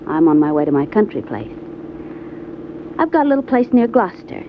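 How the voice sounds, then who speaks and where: A woman's voice: fairly low pitch, sing-song, fairly quick. An actress playing a poised, alluring woman in her twenties, coy and composed, in an old-time radio drama. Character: alluring, poised young woman, about twenty-five, coyly inviting. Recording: dull, noisy vintage radio recording.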